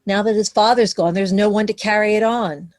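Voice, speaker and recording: A woman playing a fond, wry widowed mother who works in banking, speaking in a measured, conversational way in a musical theatre read-through. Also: medium pitch, steady tone, darkish tone, close to an everyday voice. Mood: wry, resigned. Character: widowed banker mother, middle-aged or older, fond and wry. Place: recorded remotely online.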